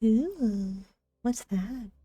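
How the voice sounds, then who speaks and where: A woman's voice: fairly high pitch, animated, drawn-out words, warm tone, slightly rough. A woman giving casual gameplay commentary as a streamer, musing aloud in short reactions. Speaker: game streamer.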